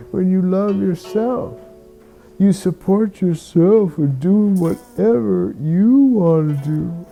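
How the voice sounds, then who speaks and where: A person telling a reflective bedtime story in a slow, halting, musing way, often repeating words. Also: mid-range voice, creaky, dark tone, husky, poor audio.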